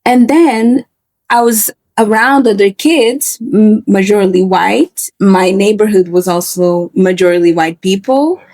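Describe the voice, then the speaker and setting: A woman in her twenties who grew up in Portugal, speaking softly and reflectively as a guest in a candid interview. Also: mid-range voice, takes it slowly, dry recording. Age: 20s. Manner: soft-spoken, reflective, with frequent fillers.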